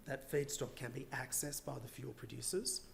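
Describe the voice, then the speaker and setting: A man, a government official, giving a measured conference presentation with a slightly hoarse, tired voice and frequent filler words. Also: medium pitch, fairly even intonation, a little hiss or hum. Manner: measured, partly read remarks with frequent uhs. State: losing his voice.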